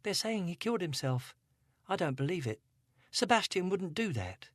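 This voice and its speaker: A man voicing a grieving teenage girl from a storybook, sulky and guarded under a sweet streak. The voice sits high above his own and swings about in pitch, soft and a touch breathy, on a dry recording. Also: big pitch swings, moderate pace, no room sound, heavily acted. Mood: upset.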